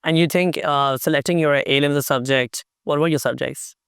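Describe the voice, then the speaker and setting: A man interviews a guest in a halting, formal way, pausing often on "uh". His voice is smooth and clear but stays flat in pitch. The close, dry sound has no room echo. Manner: halting and formal, with many "uh" pauses. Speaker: podcast host, interviewer. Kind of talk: interview questions. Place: campus recording room.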